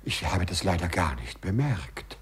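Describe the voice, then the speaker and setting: A man acting in a 1950s German radio play as a courteous, lovestruck gentleman burglar with formal, flowery speech. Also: low-pitched, very animated, a little slow, breathy edge, heavily acted. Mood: flustered, apologetic. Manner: formal, flowery, over-polite. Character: courteous, lovestruck gentleman burglar who walks through walls.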